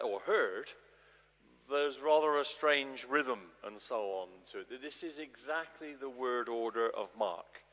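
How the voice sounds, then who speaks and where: A man's voice: medium pitch, sharp tone. A man giving an informal, explanatory public talk as a translator, restarting his phrases as he goes. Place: large church, addressing an audience. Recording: long echoey church reverb.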